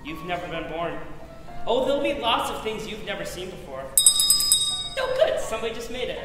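A man's voice: high-pitched, animated, brisk, loud music behind the voice. A young man on stage playing an ancient, innocent guardian angel with a genteel, earnest manner in a live radio play. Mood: innocent, cheery. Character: ancient, innocent, eager male second-class guardian angel. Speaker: stage actor. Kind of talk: live 1940s-style radio play acting. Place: theater stage in a large auditorium. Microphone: distant camera. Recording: echoey hall from far off.

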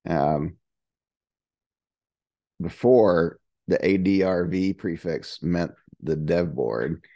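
A man's voice: deep voice, extremely slow, mellow, no echo. A man on a video call asking hesitant, puzzled questions about hardware in a technical meeting. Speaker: engineering team member asking questions.

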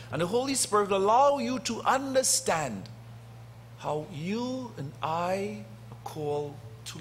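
Male priest, middle-aged, slightly high voice, slow deliberate sermon delivery with long dramatic pauses, faint background hiss. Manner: slow and deliberate, long pauses, swelling loud then dropping soft. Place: church sanctuary, indoors. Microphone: lectern gooseneck mic.

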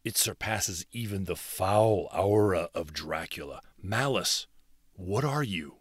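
A man voicing a valiant, devout vampire hunter from a video game, speaking in an earnest, measured, theatrical way. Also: low voice, lively intonation, slightly rough, no room sound, studio quality, full character voice. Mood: righteous fury, then solemn resolve. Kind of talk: dramatic video game character acting.

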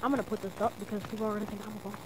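Fast-talking eleven-year-old boy gamer. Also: sits high, little pitch movement. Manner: fast, teasing trash talk. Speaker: gamer and channel host. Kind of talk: casual gaming chat. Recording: noisy home mic over game sounds.

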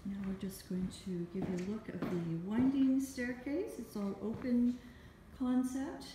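A woman narrates a property tour at an unhurried pace, pausing often between short phrases. She speaks as a rental agent walking through an empty house. Her phone picks up a strong room echo and clear background noise. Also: fairly high pitch. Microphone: handheld phone behind the camera.

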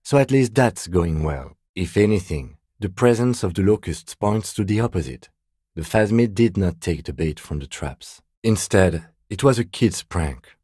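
A reserved police detective for a game, real low and mellow, dry deadpan delivery. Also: male voice, slightly rough, dry recording. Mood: dry, composed. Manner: measured, restrained, precise, deadpan. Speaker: video game voice actor. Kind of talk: role-playing game dialogue.

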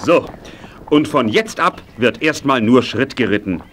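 An actor playing a firm, patient riding instructor in a 1980s children's audio drama, giving clear commands. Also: male voice, mid-range voice, animated, measured pace, a bit bright, slight background noise. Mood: commanding.

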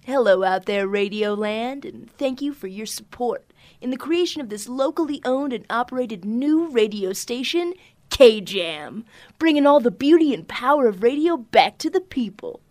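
A woman's voice: a little high, lively intonation, normal speed, darkish tone, no room sound, lightly acted. A woman playing a perky radio DJ co-host in a radio drama, announcing cheerfully.

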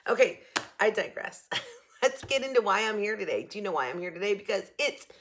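A slightly hoarse woman in her fifties chatting casually to camera from her home kitchen, rambling and joking about herself as she hosts a shopping haul video. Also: mid-range voice, expressive, brisk, fairly bright tone. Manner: chatty, rambling, self-mocking. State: a little hoarse. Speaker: haul vlogger. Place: home kitchen, indoors, early morning.

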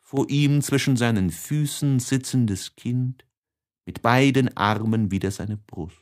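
A man reading literary prose as scripted audiobook narration in an expressive, declamatory manner. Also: medium pitch. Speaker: audiobook reader.

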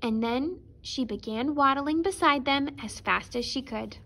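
High-pitched woman reading to preschoolers. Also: unhurried, a bit bright. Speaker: storyteller for preschoolers. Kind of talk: expressive children's read-aloud narration.

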